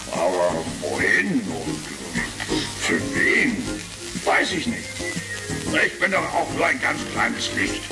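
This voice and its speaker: Captured minor space pirate: pleading, very animated pitch, roomy old-record sound, retro radio play. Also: male voice, creaky. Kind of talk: retro sci-fi audio drama acting. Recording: echoey room, vintage record crackle.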